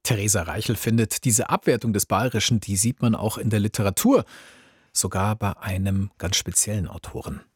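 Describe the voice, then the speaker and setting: A man's voice: fairly low pitch, fairly even intonation, moderate pace, breathy edge, no room sound, studio quality. A man who presents the radio show and announces its segments in scripted moderation.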